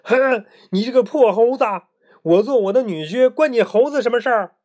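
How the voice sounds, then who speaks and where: A boastful pig demon from a children's storybook, as a storyteller puts it on. The voice is loud and pushed up in pitch. It blusters and scoffs, grunting between words like an offended, sulky bully. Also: expressive, moderate pace, highs cut off, natural voice. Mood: blustering, demanding. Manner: hesitant grunts, blustering and scornful.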